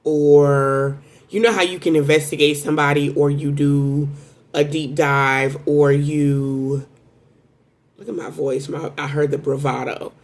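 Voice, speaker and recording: A woman giving a casual, rambling tarot reading to viewers, talking in a strong voice that jumps into sudden high exclamations. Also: level tone, unhurried, darkish tone. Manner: self-described strong voice. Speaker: tarot reader.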